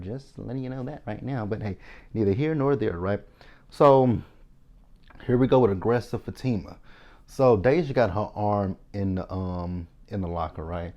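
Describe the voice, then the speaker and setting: A man's voice: low voice, mellow. A man from Texas with a Texan accent, casually recapping a TV episode in a chatty, sassy, opinionated way, talking straight to his viewers. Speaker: TV recap host.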